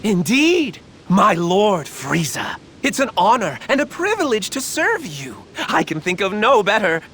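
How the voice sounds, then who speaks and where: A man playing a smug, excitable scheming scientist villain with a cocky, sarcastic manner in a video game. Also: high-pitched, a little slow, a little hiss or hum, heavily acted. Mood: fawning. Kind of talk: animated game cutscene acting.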